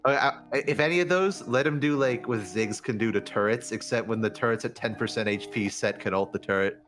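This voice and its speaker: A man talks casually about games in an informal, opinionated way. His pitch sits in an average male range, and faint music plays behind him. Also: fairly quick. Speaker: podcast guest. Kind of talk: casual gaming discussion.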